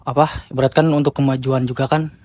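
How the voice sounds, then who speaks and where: A man's voice: mid-range voice, level tone, fast talker, darkish tone. A man of twenty, a podcast guest, talking casually and groping for his phrasing. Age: 20s. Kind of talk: casual chat.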